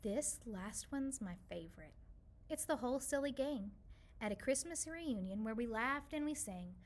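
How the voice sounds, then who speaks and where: A woman voicing a kindly, lonely old woman in a children's storybook, gentle and soothing as she reminisces. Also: fairly high pitch, lively intonation, normal speed. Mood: gentle, nostalgic. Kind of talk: children's storybook read-aloud.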